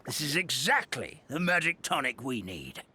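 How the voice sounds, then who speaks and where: A man playing an old, devoted grandfatherly helper in a video game, gravelly, formal and grave. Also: mid-range voice, sing-song, normal speed, bright, forceful tone, slight background noise. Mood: earnest. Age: older. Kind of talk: animated video game acting.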